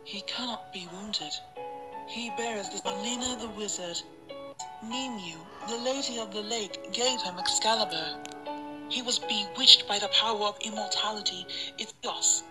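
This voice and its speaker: A woman's voice: mid-range voice, unhurried, loud music behind the voice, lightly acted, low-quality recording. A woman voicing a poised, dramatic female video game character. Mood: grave.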